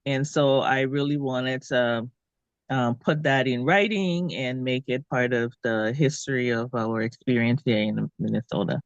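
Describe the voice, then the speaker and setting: A woman from Liberia, a guest author in an interview, speaking thoughtfully with many pauses. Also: extremely low pitch, dry recording, highs cut off. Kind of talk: conversational interview.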